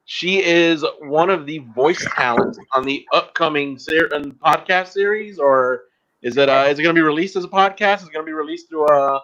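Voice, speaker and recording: A man hosting a casual podcast in an informal, halting, promotional way. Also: fairly high pitch, pushed and bright, muffled recording. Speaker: podcast host.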